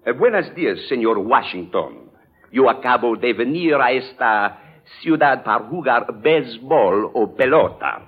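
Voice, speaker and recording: A man's voice: medium pitch, expressive, moderate pace, slightly rough. A man performing a short scripted monologue on old-time radio in a theatrical, exclamatory way. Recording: tinny, narrow vintage radio recording.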